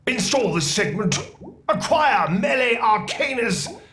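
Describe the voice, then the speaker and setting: A man voicing an excitable, boastful little creature scholar in a video game, theatrical and pompous. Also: very high-pitched, slow, roomy sound, soft background music, heavily acted. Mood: proud, instructive. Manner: theatrical, chuckling, pompous with stammered asides. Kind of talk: scripted video game character dialogue.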